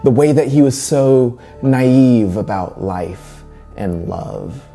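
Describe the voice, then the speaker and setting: A man's voice: mid-range voice, lively intonation, slow pace, warm tone, soft background music. A man presenting a semi-scripted literary analysis in a conversational way, with wry asides. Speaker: presenter reviewing a short story.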